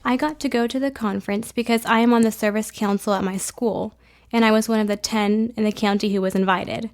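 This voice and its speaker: A woman's voice: fairly high pitch, fairly even intonation, a little fast. A teenage young woman, a student giving informal interview answers in full sentences. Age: teens.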